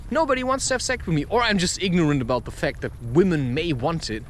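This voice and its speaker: Male podcaster, high-register voice, off-the-cuff and musing delivery, recorded outdoors with faint vehicle noise. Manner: musing, loose, frequent rhetorical questions. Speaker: podcast host. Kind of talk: rambling spontaneous monologue.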